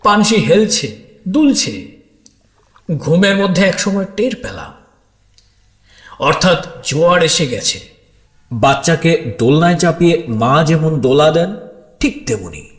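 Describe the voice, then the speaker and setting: A man's voice: fairly high pitch, unhurried, pauses often. A man narrates a story dramatically in the first person, speaking expressively and straight to his listeners. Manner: expressive, addresses listeners directly. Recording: roomy echo with music underneath.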